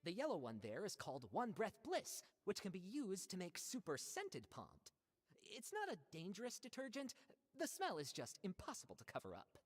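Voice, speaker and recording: An actress playing an exasperated, emphatic young worker in a video game. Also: female voice, medium pitch, normal speed, slightly rough, big-room sound, faint music behind. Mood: explaining. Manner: expressive, emphatic. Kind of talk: game voice acting.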